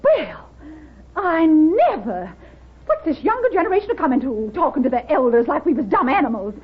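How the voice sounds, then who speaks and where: A woman's voice: high voice, big pitch swings, normal speed. An actress playing a nosy, prickly older American woman in a small-town 1930s radio drama, folksy and fussy. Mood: indignant. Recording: muffled vintage 1930s radio recording.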